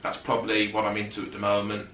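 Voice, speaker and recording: A man's voice: lowish voice, completely flat delivery, fast talker, fairly bright tone, echoey room, distant mic. A man, chatting casually to camera from his settee and often rambling off topic as a fitness vlogger. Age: 30s. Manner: chatty, rambling, goes off on tangents. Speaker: fitness YouTuber. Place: sitting on a settee at home.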